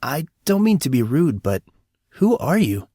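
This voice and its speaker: A man's voice: a little high, very animated, unhurried, mellow, breathy edge, dry recording, studio quality, pitched above the performer's own voice, heavily acted. Man voicing an earnest, awkward teenage boy. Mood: awkward curiosity.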